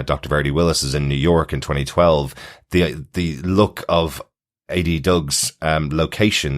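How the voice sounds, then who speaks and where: Male podcast co-host with a very deep voice for relaxed, conversational TV reviewing. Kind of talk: casual TV review chat.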